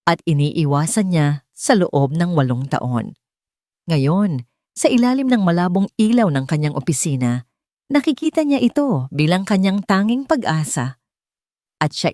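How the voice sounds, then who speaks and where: Female story narrator, lower register, dramatic scripted delivery, dry close sound. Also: a little mellow, no echo.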